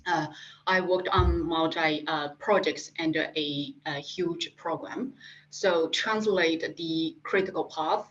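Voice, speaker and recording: A middle-aged woman from Japan with a Japanese accent, answering interview questions haltingly with many fillers and restarts. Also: low voice, steady tone, a bit bright. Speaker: guest, industry specialist. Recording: dull lo-fi sound.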